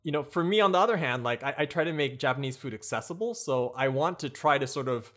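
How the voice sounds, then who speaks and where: A man's voice: medium pitch, lively intonation, fast, a bit bright. A man, a guest on a podcast, giving long, thoughtful answers about cooking in a casual chat. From United States. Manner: thoughtful, rambling explanations. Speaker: guest, Japanese cooking teacher.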